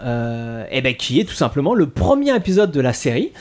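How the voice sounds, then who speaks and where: French guy hosting a podcast, chatty, stumbles and says euh a lot, pitch all over the place. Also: sing-song, fairly quick. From France. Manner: hesitant, many fillers and restarts. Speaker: podcast host. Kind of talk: casual chat.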